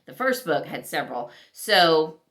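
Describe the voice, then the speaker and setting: A woman talking casually as a book vlogger, thinking aloud in halting, conversational asides. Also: low-pitched, sing-song, a bit bright. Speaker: book vlogger reviewing recent reads. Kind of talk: casual chat to camera.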